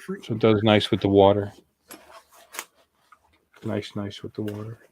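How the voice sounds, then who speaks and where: A man's voice: fairly low pitch, monotone, unhurried, a little mellow, a little hiss or hum, rough recording. A middle-aged man hosting a casual livestream chat in a playful, rambling, joking way. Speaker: livestream host.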